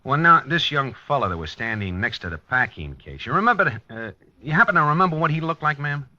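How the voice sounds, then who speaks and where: An actor playing a plain-spoken, probing police lieutenant in 1950s radio drama style. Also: male voice, medium pitch, very animated, quick pace, pushed and bright, no room sound. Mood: polite probing. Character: dogged male police lieutenant investigating a homicide. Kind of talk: 1950s radio acting, narration and dialogue. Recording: dull 1950s radio recording.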